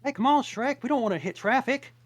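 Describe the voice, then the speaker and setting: Reader voicing a talking donkey, clingy, rambling, swooping pitch, table read. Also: expressive, fairly quick. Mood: excited. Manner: rambling, eager, stumbling restarts. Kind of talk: table read of a film script.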